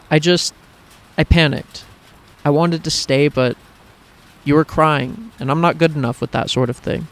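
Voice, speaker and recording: A man voicing an earnest, awkward young woman in love for a fan fiction story, speaking in halting, emotional phrases. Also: a little high, fairly even intonation, pitched above the performer's own voice. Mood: upset, confessing.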